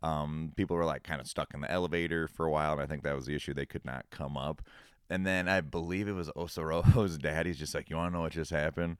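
Deep deep voiced 30s guy on a podcast, smooth, laid back, rambles on, dry sound. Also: fairly even intonation, fast talker, no room sound. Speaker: podcast co-host. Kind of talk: casual chat.

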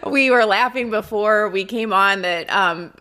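A woman's voice: mid-range voice, measured pace, sharp tone. A woman with a Midwestern American accent, co-hosting a podcast in a playful, upbeat way. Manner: playful and upbeat, laughs easily. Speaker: podcast co-host.

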